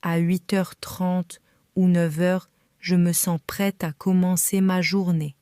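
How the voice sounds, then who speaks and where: A woman reading a simple story aloud for beginner learners, calm and careful with clearly spaced phrases. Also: on the low side, slow pace, no room sound, studio quality. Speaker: French reader for learners. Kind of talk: slow scripted reading for beginners.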